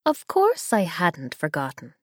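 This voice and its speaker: A woman voicing a brisk grandmother with a hard, catching voice. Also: on the low side, wildly swooping pitch, a little mellow, dry recording, clean studio sound, full character voice. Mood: warm welcoming.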